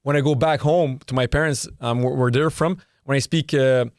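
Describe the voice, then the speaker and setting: A man's voice: mid-range voice, fairly even intonation, moderate pace, no room sound. Chatty Quebecois-accented male host, dry sound. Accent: Quebecois French. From Quebec, Canada. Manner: chatty, lots of fillers, laughs easily. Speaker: podcast host. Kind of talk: casual interview chat.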